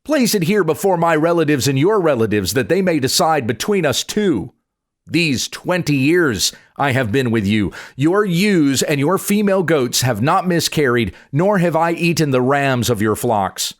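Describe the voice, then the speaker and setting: A man's voice: on the high side, big pitch swings, normal speed. A man reading scripture aloud in the part of an aggrieved, indignant herdsman patriarch, with emphatic, declamatory delivery. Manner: declamatory, emphatic, rhetorical questioning. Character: aggrieved, indignant male patriarch, a herdsman protesting twenty years' service.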